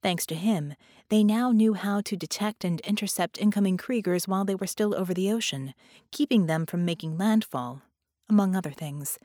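A woman's voice: medium pitch, moderate pace, dark tone, no echo, clean studio sound. A woman reading scripted fiction as an audiobook narrator.

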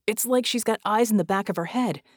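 A woman voicing a hulking, armored male hero who is despairing and reluctant, muttering and hissing in breathy whispers. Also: mid-range voice, a little fast, dry recording, clean studio sound, close to an everyday voice. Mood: tense hissing.

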